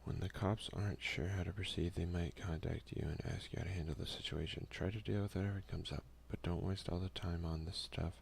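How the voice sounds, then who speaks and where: Deep gravelly man doing ASMR game commentary. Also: steady tone, brisk, hardly any pauses, a little hiss or hum. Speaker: gaming video host. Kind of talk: casual let's play commentary in ASMR style, partly reading game text aloud. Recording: music behind the voice.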